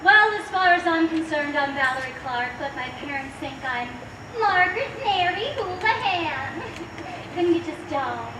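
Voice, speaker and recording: A woman's voice: high voice, animated, unhurried. A woman playing a wisecracking young dancer. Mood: joking. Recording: echoey stage from far off, noisy.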